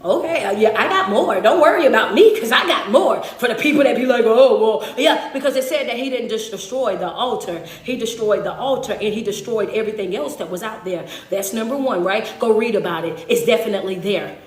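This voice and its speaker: A woman's voice: fairly high pitch, a little fast, few pauses, roomy sound. A woman motivational speaker giving a preaching-style talk, emphatic and full of repeated refrains.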